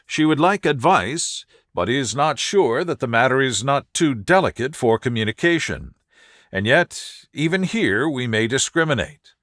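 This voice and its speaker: A shrewd, self-assured male detective as a man reads him in a storybook narration. He explains things calmly and precisely, with a mid-pitched voice, lively intonation and a dry, close sound. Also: normal speed, no echo, lightly acted. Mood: analytical.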